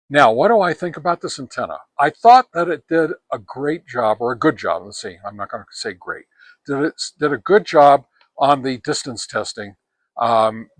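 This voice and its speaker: An older man around seventy reviews gear in a casual way, talking straight to the camera. His voice sits at a middling pitch. He speaks haltingly, pausing and correcting himself as he goes, in a dry outdoor sound with no echo. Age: older, about 70. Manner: halting, hesitant, self-correcting delivery. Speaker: ham radio gear reviewer. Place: outdoors on a wooden deck among trees.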